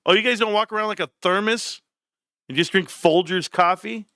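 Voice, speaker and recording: A man's voice: high voice, moderate pace, sharp tone, no room sound, studio quality. A man with a Southern Californian accent bantering casually as a live stream host, slangy, joking and teasing. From California, United States.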